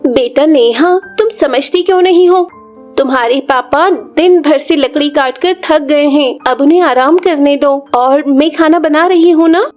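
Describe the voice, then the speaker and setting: Woman acting a high-pitched worried village mother. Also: measured pace, darkish tone, soft background music. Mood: gently chiding. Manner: earnest, emotional, melodramatic. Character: worried, caring mother and devoted village wife.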